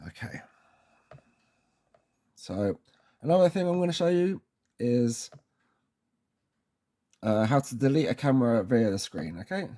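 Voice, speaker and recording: A man's voice: mid-range voice, expressive, measured pace, long pauses, mellow. Casual man presenting a how-to tutorial. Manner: informal, reassuring, often checks in with tag words.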